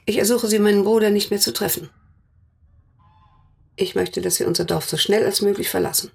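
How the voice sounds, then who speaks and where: An actress playing a stern, protective older sister in a German radio drama, speaking bluntly and formally, as if interrogating, with a husky, gravelly voice. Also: female voice, medium pitch, steady tone, brisk. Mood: stern, insistent.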